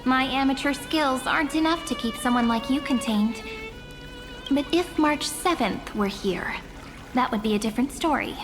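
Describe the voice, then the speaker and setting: A woman's voice: high-pitched, moderate pace, over music, natural voice. Reverberant, poised young woman voiced by an actress. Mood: composed, teasing. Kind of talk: video game voice acting. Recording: long hall reverb.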